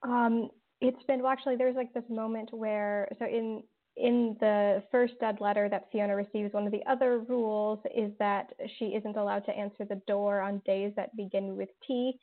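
A woman talking as an interview guest, circling her answers with plenty of fillers and restarts. Her voice sits at an ordinary middle pitch. It comes through a narrow, tinny phone-like line. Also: little pitch movement, normal speed. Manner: rambling, many fillers and restarts. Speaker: podcast creator and guest.

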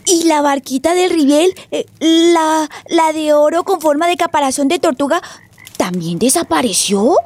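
A woman's voice: high-pitched, expressive, moderate pace, a little mellow, dry recording. A woman playing an inquisitive young Colombian granddaughter listening to scary tales in a radio drama. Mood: curious, spooked. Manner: inquisitive, childlike.

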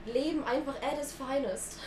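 Lively nineteen-year-old woman, echoey room. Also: high-pitched, moderate pace. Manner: lively, animated storyteller who laughs at herself. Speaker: podcast co-host. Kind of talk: casual chat, storytelling. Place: sitting on a church pew. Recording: echoey room with clear background noise.

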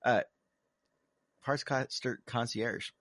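A man's voice: medium pitch, slow, a bit bright, dry recording, clean studio sound. A young man co-hosting a casual podcast, rambling and poking fun at himself. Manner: rambling, self-deprecating joker. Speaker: podcast co-host. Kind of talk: casual chat.

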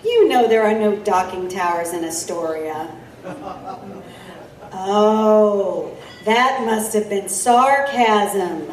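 A woman's voice: mid-range voice, expressive, takes it slowly. A woman playing a stubborn, sardonic newspaper reporter who grumbles skeptically in a steampunk audio drama. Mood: skeptical, teasing. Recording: roomy, distant, faint noise.

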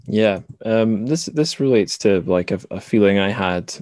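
A man hosting a conversational interview, musing in a reflective, tentative and measured way. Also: on the low side, darkish tone, no room sound. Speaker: podcast host, interviewer.

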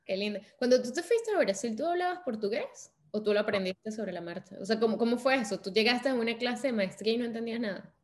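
Woman hosting a casual interview, warm and gushing delivery. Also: on the high side, lively intonation, fast talker, hardly any pauses. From Venezuela. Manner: warm, effusive, laughs easily.

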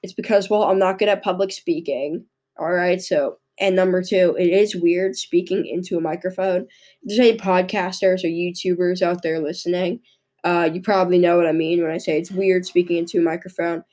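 Young woman host, hoarse with a cold, on the low side, stumbling and restarting as she reads her podcast notes. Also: fairly even intonation, a little mellow. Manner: halting, stumbles and restarts while reading. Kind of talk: casual podcast monologue reading notes. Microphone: microphone into a laptop.

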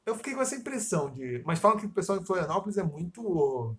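A man chatting casually on a podcast, his voice sitting a little high. He rambles, swears freely and often drops a thought halfway to start over. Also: big pitch swings, quick pace. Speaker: podcast co-host. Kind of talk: casual chat.

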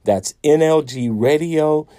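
A man's voice: fairly high pitch, slow pace, mellow, no room sound, clean studio sound. A man hosting a radio show, talking to guests and listeners in an encouraging, motivational way. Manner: encouraging, exhortative.

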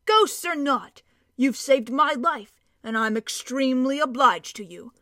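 A woman voicing a cheerful, stocky male dwarf with a hearty, emphatic, drawn-out way of talking. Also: sits high, expressive, a bit bright, no echo, studio quality, voice pushed up.

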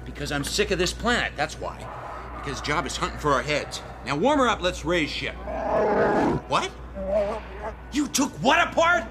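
An actor playing a cocky, sardonic, impatient smuggler pilot in a radio drama, brash and casual, with music behind him. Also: male voice, high voice, sing-song, normal speed, long pauses, noisy background. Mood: exasperated.

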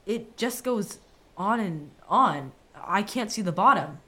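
A woman playing an eager, stubborn, excitable young boy in a radio play. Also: mid-range voice, slow. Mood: eager, curious.

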